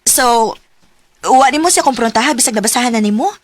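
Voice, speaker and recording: A woman's voice: on the high side, a little fast, soft background music, close to an everyday voice. An actress playing a frank, protective woman confidante in a radio drama, speaking insistently with a Cebuano Filipino accent. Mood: concerned. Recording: faintly noisy radio drama track.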